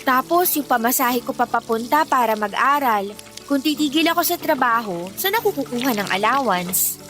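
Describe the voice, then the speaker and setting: Radio drama hard-up Filipina teenage working girl, candid emphatic talker, highish voice, music underneath. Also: lively intonation, normal speed, fairly bright tone. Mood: resigned, doubtful. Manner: conversational, emphatic storytelling. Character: eighteen-year-old working girl, candid, worn by family hardship. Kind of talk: Tagalog radio drama acting. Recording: music bed under the dialogue.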